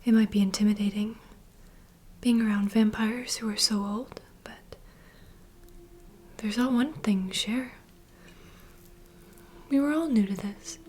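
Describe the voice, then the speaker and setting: A woman playing a nurturing, gossipy vampire bartender in an ASMR fantasy roleplay, speaking in a soft, breathy, confiding whisper. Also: fairly high pitch, measured pace, pauses often, slight background noise, natural voice. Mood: gentle, reassuring. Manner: intimate, confiding, gentle.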